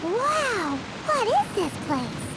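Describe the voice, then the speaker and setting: A woman voicing a tiny, excitable female fairy companion who talks about herself in the third person, for a video game. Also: extremely high pitch, drawn-out words, background noise, exaggerated voice. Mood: curious.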